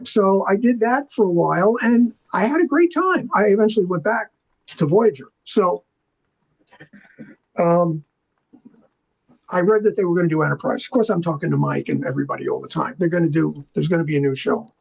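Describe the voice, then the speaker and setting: An older man with a noticeably high-pitched voice recounts stories as an interview guest. He talks casually and colloquially, like a veteran of his trade, and acts out both sides of remembered conversations. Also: sing-song, a little fast, gravelly, slightly rough, poor audio. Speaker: interview guest, veteran visual effects artist. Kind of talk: casual anecdotal storytelling in an interview.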